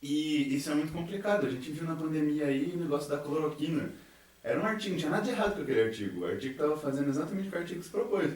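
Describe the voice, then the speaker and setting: A man's voice: on the high side, expressive, rapid-fire, a little mellow. Very echoey young Brazilian man. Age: young adult. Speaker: university student in a science theatre group. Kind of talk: casual podcast conversation. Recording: very echoey room, distant from microphone.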